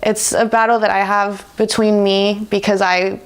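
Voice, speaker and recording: A woman's voice: mid-range voice, level tone, moderate pace, smooth, low-quality recording. A woman in her twenties with an American accent, speaking informally and reflectively in a conversational interview. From United States. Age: 20s. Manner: informal, often laughs while talking.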